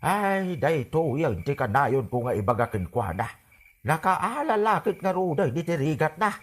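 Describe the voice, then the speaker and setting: A woman's voice: deep voice, big pitch swings, moderate pace. A woman acting a grumbling, exclamatory, ailing villager in an Ilocano radio comedy drama. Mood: complaining.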